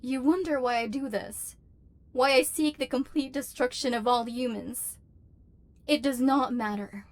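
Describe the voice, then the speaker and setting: Robot overlord played by a woman: female, fairly high voice, stiffly formal, embittered yet secretly tender, for ASMR roleplay. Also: a little slow. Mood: wounded, wistful. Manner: formal, precise, stilted diction with wounded feeling. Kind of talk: scripted ASMR roleplay acting.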